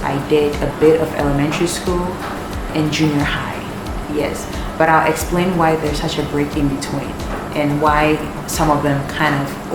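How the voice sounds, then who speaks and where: A young Ghanaian woman, a native Twi speaker, chatting casually to camera in a vlog and often checking in with "okay?". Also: sits low. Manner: chatty, keeps asking "okay?". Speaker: vlogger. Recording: echoey room with loud air conditioning hum.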